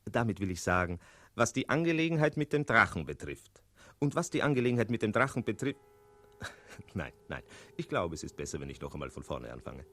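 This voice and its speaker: An actor voicing a sly, chatty tomcat who narrates a 1960s radio play in a playful, theatrical way. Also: male voice, on the low side, very animated, brisk, a bit bright, full character voice. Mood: playful, self-important. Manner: playful, theatrical, digressive, punctuated by meows. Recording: 1960s radio studio recording with music.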